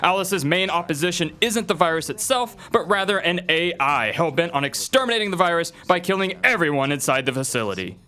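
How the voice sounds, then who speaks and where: Male video-essay narrator, scripted entertainment read, high and bright, light music bed. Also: animated, brisk, hardly any pauses. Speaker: video narrator, film list presenter.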